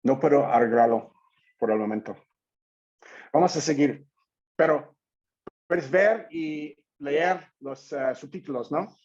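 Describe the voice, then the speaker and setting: A man speaking Spanish as a non-native speaker, giving a careful, deliberate seminar presentation over a video call with some hesitation. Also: a little high, darkish tone, no room sound. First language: not Spanish. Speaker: invited speaker. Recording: dull lo-fi online call audio.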